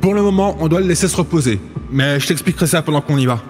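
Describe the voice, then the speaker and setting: An actor playing a wary, brooding young rebel in an audio drama, speaking in a casual, colloquial way. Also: male voice, a little high, fast talker, a little mellow, natural voice. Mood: firm. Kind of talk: French audio drama acting. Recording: roomy sound with music behind.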